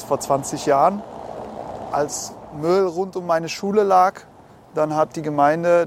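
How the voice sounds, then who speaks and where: A man from the Netherlands, with a slightly raised pitch, talks casually about something he built, restarting his words now and then. Faint noise sits behind his voice. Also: gravelly. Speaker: app developer. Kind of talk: interview and street chat.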